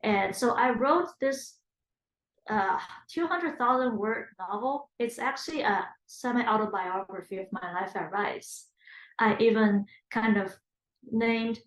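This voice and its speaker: A woman in her thirties from China, first language Chinese, answering an oral history interview. She talks in a reflective way, pausing on fillers and restarting her sentences. Her mid-pitched voice carries a noticeable echo from the room. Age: 30s.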